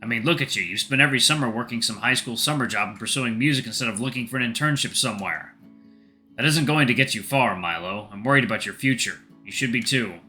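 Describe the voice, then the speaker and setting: Stern middle-aged father for audio drama played by a male narrator, curt, disapproving, bright forceful edge. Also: medium pitch, quick pace, soft background music.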